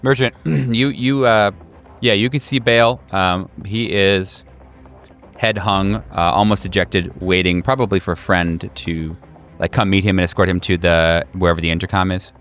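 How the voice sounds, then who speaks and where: Deep-voiced man running a tabletop game. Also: fairly even intonation, a bit bright, faint music behind. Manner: casual, halting narration. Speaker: game master. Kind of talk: improvised tabletop horror narration.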